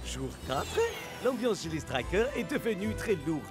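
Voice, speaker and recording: An actor playing an earnest, dramatic soccer team captain in a cartoon. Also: male voice, sits high, big pitch swings, normal speed. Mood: earnest diary narration. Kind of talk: animated cartoon voice acting. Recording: music playing behind the voice.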